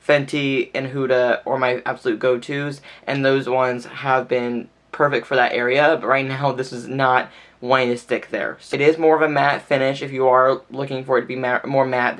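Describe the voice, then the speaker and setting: Brief: male beauty vlogger, mid-pitched, chatty and casual, slightly stuffy nose. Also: level tone, normal speed, fairly bright tone. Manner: chatty, fills speech with "like". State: stuffy, nasal from sneezing. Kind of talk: casual makeup tutorial talk.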